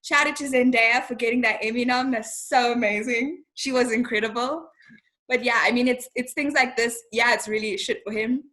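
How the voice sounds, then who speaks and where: A young woman from Zimbabwe chats openly as an interview guest. She sounds frank and animated, with a fairly high voice and plenty of casual slang. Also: moderate pace.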